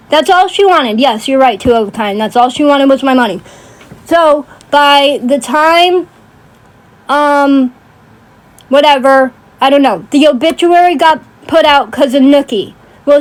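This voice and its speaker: A woman ranting casually on a livestream in a blunt, sweary way, often checking in with emphatic tag questions. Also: sits high. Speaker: livestream host.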